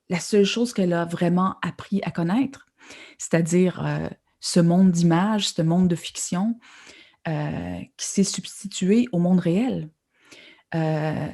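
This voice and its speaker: A woman's voice: lowish voice, mellow. A woman novelist, speaking thoughtfully in an interview, often restarting her sentences. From Quebec. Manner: thoughtful, hesitant, many repeated starts. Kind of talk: conversational literary interview.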